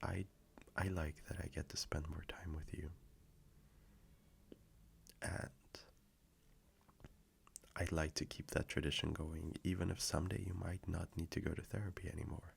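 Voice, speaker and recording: Deep, gravelly, gentle boyfriend, acted by a man. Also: level tone, slight background noise. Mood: tender, reflective. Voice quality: low, gravelly, breathy at times. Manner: soft, slow, reassuring, intimate. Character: gentle, affectionate boyfriend checking in on partner. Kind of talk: intimate boyfriend audio roleplay, softly spoken.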